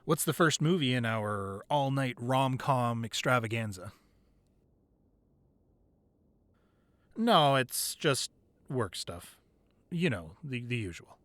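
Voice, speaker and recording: A man acts out an affectionate boyfriend who doubles as a hardened crime boss in an intimate audio roleplay. His mid-pitched voice moves freely up and down, conversational and able to turn from playful to commanding. The sound is close and very dry. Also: lively intonation, measured pace, a bit bright, no room sound. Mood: gentle, guarded. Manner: conversational, playful with partner, curt and commanding in business. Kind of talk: intimate ASMR roleplay acting.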